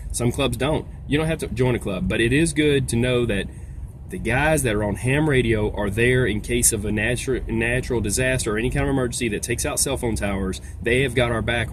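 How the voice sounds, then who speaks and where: A man giving a casual, folksy explainer as a vlog host, talking in a rambling way. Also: mid-range voice. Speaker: video host, trucker. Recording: noisy, vehicle-like background sound.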